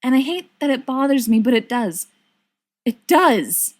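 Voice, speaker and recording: Woman voicing an earnest, hurt young woman, lively pitch. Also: a little slow, exaggerated voice. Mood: earnest, frustrated.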